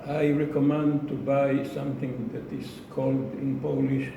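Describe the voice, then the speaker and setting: Male club speaker, deliberate and unhurried, repeats key phrases; recorded far from the mic in a noisy room. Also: medium pitch, little pitch movement, vocal fry, very echoey, muffled recording. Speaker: club speaker giving a speech. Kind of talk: prepared club speech to a live audience.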